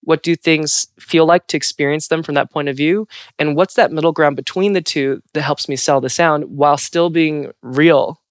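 A man's voice: fairly high pitch, steady tone, normal speed, hardly any pauses, no room sound, studio quality. A man who works as a Foley artist, talking thoughtfully in an interview and searching for his words. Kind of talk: interview talk.

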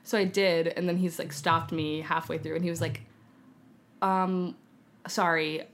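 A woman's voice: low-pitched, level tone, a little fast, vocal fry, a little hiss or hum. A young American woman talking casually as a podcast guest, giggly and telling stories with acted-out quotes. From United States (San Francisco). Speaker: podcast guest, filmmaker and actor. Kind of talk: casual conversation.

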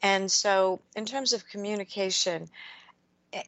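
A woman hosting a radio interview, speaking in a measured, reflective way and asking long framing questions. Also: medium pitch, fairly bright tone, no echo, highs cut off. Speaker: radio show host.

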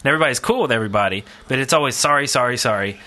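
A man's voice: medium pitch, expressive, racing, sharp tone. A man co-hosting a podcast, talking casually and informally, thinking aloud as he goes. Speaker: podcast co-host. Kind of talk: casual gaming podcast chat.

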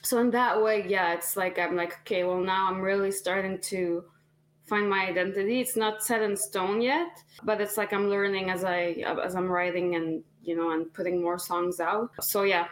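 A woman's voice: medium pitch, fairly even intonation, moderate pace. A woman in her twenties, a singer-songwriter guest on a podcast, talking casually with lots of fillers and restarts. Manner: chatty, many fillers and restarts.

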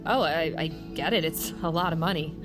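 A woman's voice: sits low, fairly quick, over music, lightly acted, poor audio. An actress playing a wry, skeptical woman with a casual, probing manner in a naturalistic audio drama. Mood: sympathetic, probing.

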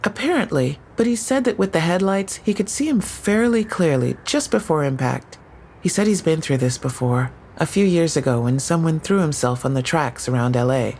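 A woman's voice: deep voice, animated, normal speed, darkish tone. Earnest lady patrol cop, matter of fact and precise, audio drama, bit of background noise. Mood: sober, factual. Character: earnest female police patrol officer.

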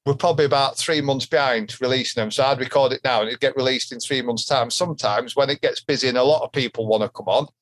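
A man with a Yorkshire accent hosting a casual podcast chat, talkative and quick to laugh. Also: mid-range voice, fairly bright tone. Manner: chatty, laughs easily, swears casually. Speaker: podcast host.

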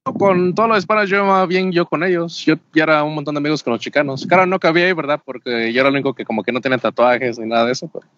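A young man talks at a quick clip in a relaxed back-and-forth. He sometimes searches for a word and drops in English terms. The podcast audio sounds dull and slightly lo-fi. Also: on the high side. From Mexico. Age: young adult. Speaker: interview guest. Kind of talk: casual conversation.

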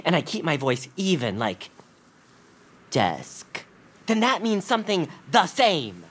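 A woman's voice: sits low, wildly swooping pitch, takes it slowly, husky, full character voice. A woman voicing an eager, chatty young male bird in family audio fiction, gushing and rambling when flustered. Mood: pleading, excited.

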